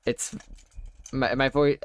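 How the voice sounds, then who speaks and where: A young adult man telling a personal story while playing a game, halting and wry, full of fillers and restarts. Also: mid-range voice, flat delivery, clear, resonant tone. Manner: wry, self-deprecating. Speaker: gaming YouTuber. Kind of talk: casual storytelling over gameplay.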